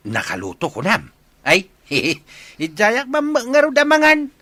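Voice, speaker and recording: A man's voice: extremely high pitch, wildly swooping pitch, unhurried, pushed and bright, dry recording, heavily acted. A man playing a nosy, jocular, scheming village father with an Ilocano accent in a radio comedy drama. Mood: jocular, curious.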